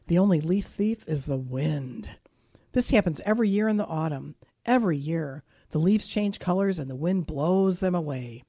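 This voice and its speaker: A patient storybook bird, read aloud by a woman explains the world to a squirrel. The voice sits a little low and is calm and steady. The recording is close and dry, with no room sound. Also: moderate pace, dry recording.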